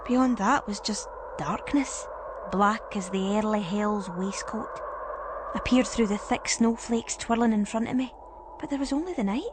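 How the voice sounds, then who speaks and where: A woman playing a frightened but resolute narrator, telling a first-person ghost story in a suspenseful, deliberate way. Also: medium pitch, noisy background, close to an everyday voice. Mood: tense.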